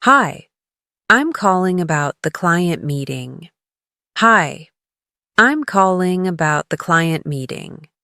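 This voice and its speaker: A woman leading a scripted English lesson as a teacher, encouraging the learner and clearly enunciating model phrases for repetition. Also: lowish voice, lively intonation, takes it slowly, bright, forceful tone, dry recording, clean studio sound. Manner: encouraging coaching.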